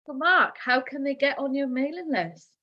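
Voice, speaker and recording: A woman's voice: high voice, animated, normal speed, lo-fi sound. A woman hosting an interview show, chatty and encouraging toward her guest. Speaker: podcast host.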